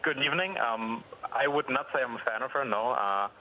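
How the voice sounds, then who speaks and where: A young man with a German accent phones in to a live news program. His voice is bright and forward, his delivery polite and measured, with some pauses as he thinks. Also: mid-range voice, a little hiss or hum, low-quality recording. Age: young adult. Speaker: caller.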